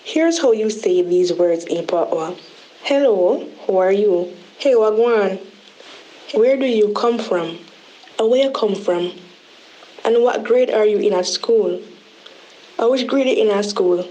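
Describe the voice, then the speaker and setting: Mellow-voiced woman with a Jamaican accent. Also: medium pitch, animated, a little slow, dull audio. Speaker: guest teaching words. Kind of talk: short prepared explanation.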